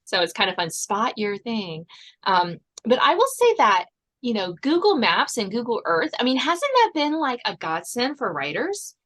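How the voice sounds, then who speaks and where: A woman's voice: fairly high pitch, big pitch swings, moderate pace, a bit bright. An American woman from Virginia hosting a podcast, chatting casually in an encouraging, talkative way. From southern Virginia, United States. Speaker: podcast host. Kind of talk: casual interview chat.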